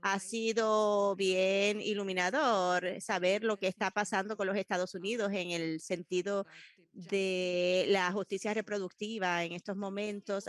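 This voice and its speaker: A woman interprets a panel discussion live over an online meeting. Her voice sits in a middle range, and her delivery is halting, full of restarts and quick self-corrections as she keeps pace with the speakers. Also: medium pitch. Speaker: simultaneous interpreter.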